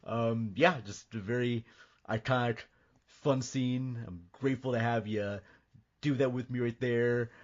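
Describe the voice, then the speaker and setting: A man chats casually as a podcast host. His voice sits at a medium pitch and his manner is joking and mock-serious. The audio is a bit dull. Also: steady tone, a little slow.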